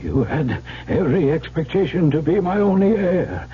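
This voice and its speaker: An actor playing a frightened, dying rich uncle over ninety in a radio drama, speaking gravely and haltingly. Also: male voice, fairly high pitch, very animated, full character voice. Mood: fearful, urgent. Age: older. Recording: noisy muffled old radio broadcast.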